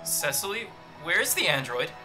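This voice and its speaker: A woman playing a weary, level-headed young pirate who speaks calmly and with measure in a radio drama. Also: lowish voice, a little slow, recorded from a distance, music in the background, poor audio. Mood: composed.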